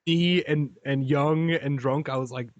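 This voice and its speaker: A man's voice: on the high side, steady tone, unhurried, no room sound, highs cut off. A man co-hosting a podcast, telling stories in a self-deprecating, laughing way. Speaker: podcast co-host. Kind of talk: casual podcast storytelling.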